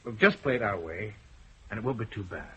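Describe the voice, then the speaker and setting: An actor playing a steady police detective in a radio drama, speaking in a level, firm way. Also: male voice, on the low side, a little fast, slight background noise, lightly acted. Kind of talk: 1970s radio drama acting. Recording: muffled old radio broadcast.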